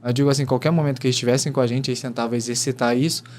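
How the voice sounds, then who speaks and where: A man's voice: medium pitch, fairly even intonation, rapid-fire, darkish tone, a little hiss or hum. A man co-hosting a podcast, explaining things earnestly in casual chat. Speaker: podcast co-host.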